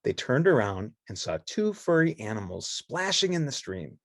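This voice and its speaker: A woman's voice: very deep voice, big pitch swings, moderate pace, dry recording, studio quality. A woman narrating a children's read-along storybook.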